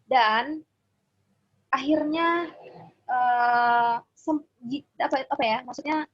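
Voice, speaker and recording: Woman, religious teacher, husky slightly high voice, conversational explaining, checks for agreement, dull lo-fi audio. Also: takes it slowly. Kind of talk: informal explanatory online lesson.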